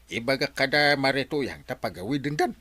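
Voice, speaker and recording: An actor playing an excitable, agreeable village gossip with an Ilocano Filipino accent in a radio comedy drama. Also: mid-range voice, fairly quick, husky. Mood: excited.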